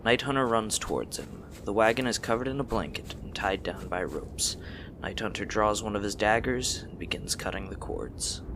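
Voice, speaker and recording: A man's voice: on the low side, animated, moderate pace, noisy background. A man reading scripted fantasy story narration as the narrator of an audio drama.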